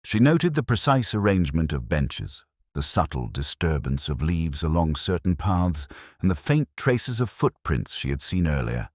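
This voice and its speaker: A man with an extremely deep voice reads a mystery story as an audiobook narrator. His delivery is measured and formal, yet the pitch moves in a lively way through each sentence. The sound is dry, with very little room echo.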